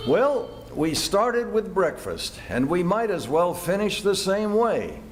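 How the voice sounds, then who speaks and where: Man acting out a high, animated radio-play young fellow. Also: takes it slowly, faint music behind, heavily acted. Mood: genial narrating. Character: bickering teenage boyfriend and smooth radio announcer. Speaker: amateur actor and announcer. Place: live stage performance.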